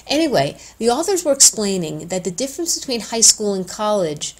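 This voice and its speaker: Female teacher-trainer, mid-pitched, chatty yet instructive, leaning deliberately on key words. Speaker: education instructor addressing student teachers. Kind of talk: informal instructional talk.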